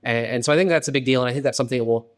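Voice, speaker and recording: A man hosting an interview podcast, chatty and conversational, often checking in with a quick "right?". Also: medium pitch. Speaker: podcast host.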